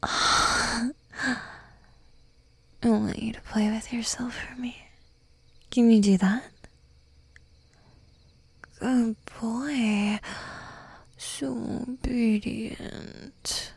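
A woman whispering close to the mic in an adult roleplay, dominant and teasing, giving commands in a breathy whisper. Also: on the high side, steady tone, drawn-out words, pauses often.

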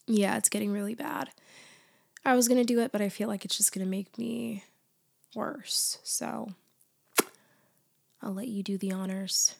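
A young woman in a soft, often whispered ASMR girlfriend roleplay, drowsy and close to the mic, her voice worn down as she loses it to a cold. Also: mid-range voice, fairly even intonation, a little slow, gravelly, pauses often. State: losing her voice, congested.

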